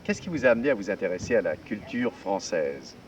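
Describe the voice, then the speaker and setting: A man's voice: on the low side, very animated, a little fast, noisy background. A man interviewing students for radio, asking formal, polite questions. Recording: distant dull 1960s radio archive.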